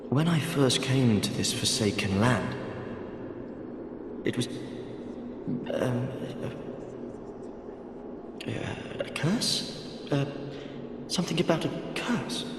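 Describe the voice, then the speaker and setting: A man voicing a gentle, absent-minded wandering mapmaker in a video game, courteous and hesitant, his thoughts drifting. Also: mid-range voice. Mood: courteous, confused. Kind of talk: video game character dialogue. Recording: echoey long reverb, clear background noise.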